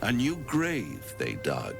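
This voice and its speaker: A very deep, gravelly game devil, suave and scheming. He talks like a poet on a stage, savoring each phrase with smooth condescension and wildly swooping intonation. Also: wildly swooping pitch, takes it slowly, music in the background, exaggerated voice. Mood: ominous, poetic. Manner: grandiloquent, rhyming, smoothly condescending. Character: suave, scheming male devil, theatrical and menacing. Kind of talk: theatrical video game acting.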